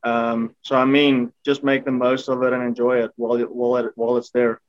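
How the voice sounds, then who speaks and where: A South African man whose first language is Afrikaans, a rugby player answering interview questions in a relaxed, hesitant way with many fillers. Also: medium pitch, a little fast, muffled recording.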